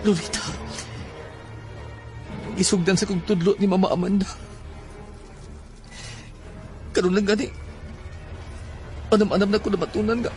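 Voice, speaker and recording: A man's voice: high-pitched, steady tone, moderate pace, long pauses, darkish tone, soft background music, close to an everyday voice. A man acting as an anxious, secretive young son in a horror audio drama, with a Filipino accent and a grave delivery. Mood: troubled, guarded.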